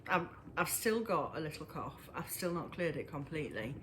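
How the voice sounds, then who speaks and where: A woman chatting casually to camera about her knitting, a little breathless and wheezy, pausing often as she talks. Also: low-pitched, sing-song, fairly bright tone, slight background noise. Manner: halting, pauses often. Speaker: knitting vlogger. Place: at home indoors.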